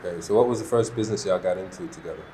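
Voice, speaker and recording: A man's voice: deep voice, racing, mellow. A man hosting a casual interview, asking questions in colloquial language with brief interjections. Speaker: host, interviewer. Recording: clear background noise, ambience.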